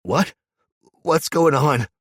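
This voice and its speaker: A male narrator playing a scared young gang follower, pleading and shaken. His voice is husky and climbs sharply with fear. The reading is dry and close. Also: medium pitch, lively intonation, fast, a bit bright, no echo, voice pushed up. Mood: frightened, pleading. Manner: frightened, begging.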